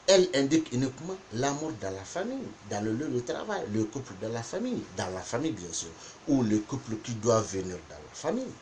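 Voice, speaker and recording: A man whose first language is not French, teaching a spoken lesson on interpretation, deliberate and repeating points for emphasis. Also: mid-range voice, darkish tone, a little hiss or hum. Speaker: geomancy teacher.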